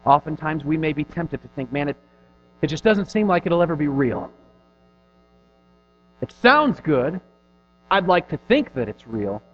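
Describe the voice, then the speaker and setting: A man in his thirties preaches in an earnest, conversational style, his voice sitting a little high for a man. He presses hard on some phrases and drops low and quiet on others. The recording is dull and lo-fi, with soft music underneath. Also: very animated, a little slow, long pauses. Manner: earnest, conversational preaching with rising and falling emphasis. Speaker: pastor. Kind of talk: sermon.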